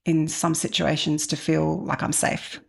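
A woman in her forties speaks as an interview guest, looking back on her own life. Her voice sits low, with a marked creak at the edges. She is candid and introspective, talking in a conversational storytelling way. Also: little pitch movement, measured pace. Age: 40s. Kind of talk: reflective personal storytelling.

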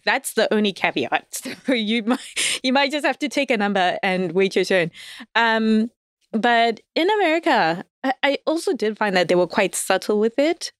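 A woman's voice: medium pitch, clean tone, no echo, studio quality. A woman from Zimbabwe chatting candidly as a podcast guest, laughing often and hedging her opinions. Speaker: guest writer. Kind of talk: casual podcast chat.

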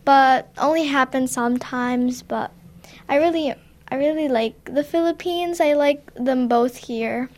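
A young woman guest talks openly in English about where she lives and what she loves. Her voice is high, and she answers earnestly while sometimes stopping to search for a word. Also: measured pace. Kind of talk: casual interview answers.

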